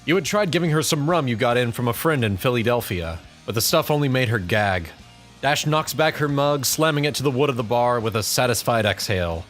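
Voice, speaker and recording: A man reads scripted story narration at a medium pitch. Music plays behind his voice. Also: lively intonation, a little fast, fairly bright tone.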